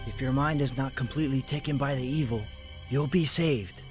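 An actor playing a stoic, righteous giant alien robot in a game cutscene, speaking in a solemn, deliberate, formal manner. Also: male voice, medium pitch, music in the background, close to an everyday voice. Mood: grave, calm. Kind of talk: animated game cutscene dubbing.

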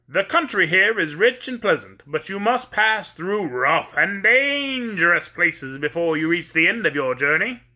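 A man voicing a small Munchkin man who speaks gravely and earnestly in a storybook reading. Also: high-pitched, lively intonation, unhurried, few pauses, pushed and bright, heavily acted.

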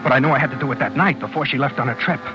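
An actor as a bitter, obsessive boyfriend, muffled old radio. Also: male voice, medium pitch, racing, music in the background, natural voice. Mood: brooding confession. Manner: intense, emotional, shifts from soft coaxing to bullying. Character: bitter, obsessive jilted boyfriend, wheedling then menacing. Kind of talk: 1940s radio drama acting. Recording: muffled 1940s radio broadcast recording.

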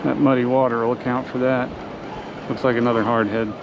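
A man's voice: medium pitch, flat delivery, fairly quick. A man casually talking to himself while fishing from an ocean pier, recorded on a body-worn action camera. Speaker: fishing vlogger. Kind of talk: casual first-person commentary. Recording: muffled action-camera audio with wind and surf.

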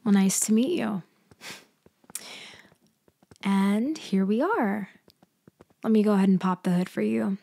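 A woman plays a scheming female mafia leader in an intimate ASMR audio roleplay. Her soft, hesitant speech moves slowly, with a noticeable fry. The sound is dry and close to the mic. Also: medium pitch, no room sound. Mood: feigned helplessness. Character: cunning female mafia leader feigning a helpless stranded motorist.

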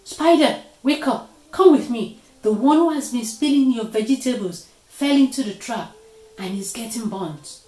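A woman's voice: on the high side, expressive, moderate pace, mellow, echoey room, pitched above the performer's own voice, natural voice. A woman voicing a sly, scheming deer in a storybook tale, urgent and coaxing.

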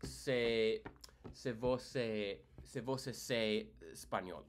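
A young American man, a native English speaker, chatting casually in halting beginner Portuguese as he searches for words. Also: on the low side. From United States. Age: young adult. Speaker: vlogger, beginner Portuguese learner. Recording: noisy ambience, action-camera and video-call sound.

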